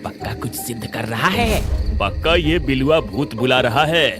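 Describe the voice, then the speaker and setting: A man's voice: medium pitch, animated, a little slow, music in the background, lightly acted. A man voicing a mocking village busybody in a cartoon story, teasing and conspiratorial.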